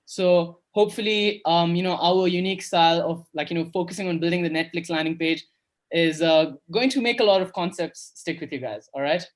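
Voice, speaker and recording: A man's voice: fairly high pitch, a little fast. A young man raised in Kenya hosting an online coding workshop over a video call, upbeat and chatty with lots of fillers. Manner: upbeat, filler-heavy, repeats "awesome". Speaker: workshop host. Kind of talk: casual online workshop talk.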